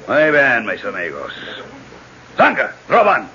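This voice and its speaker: An actor playing a commanding, mocking hijacker boss in a 1970s radio drama. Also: male voice, medium pitch, huge pitch swings, a little slow, creaky, sharp tone, full character voice. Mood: commanding, menacing. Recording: muffled, hissy 1970s radio broadcast.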